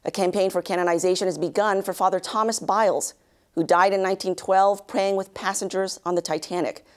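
A woman in her thirties anchoring a news bulletin, reading a script with measured, even delivery in a studio. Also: mid-range voice. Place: studio news set, indoors.

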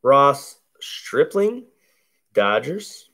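Slow, bassy man opening baseball cards. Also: sing-song, bright, forceful tone. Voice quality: deep, bassy voice. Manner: slow, laid-back play-by-play of each card. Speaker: card break host. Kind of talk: casual card-opening commentary. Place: indoors at a table covered in cards.

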